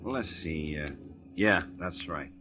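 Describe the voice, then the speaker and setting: An American actor playing a tipsy, boastful, folksy crook with a rambling manner in a 1940s radio drama. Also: male voice, sits low, slow pace, pushed and bright, heavily acted. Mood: tipsy, jovial. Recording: vintage radio broadcast.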